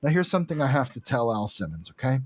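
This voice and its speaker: A man's voice: mid-range voice, very animated, quick pace, no room sound. Man, podcast co-host, casual recap chat, jokey and profane, dry close sound.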